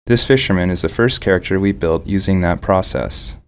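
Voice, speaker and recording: A man's voice: low-pitched, a little fast, slight background noise. A man reading scripted developer commentary as a game artist explaining a process.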